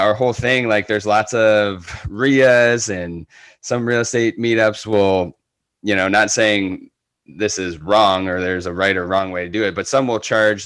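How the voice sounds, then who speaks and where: A man's voice: on the low side, fairly bright tone, clear, resonant tone, no echo. A man speaking casually as an interview guest on a podcast, earnest and enthusiastic. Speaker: podcast guest, real estate agent.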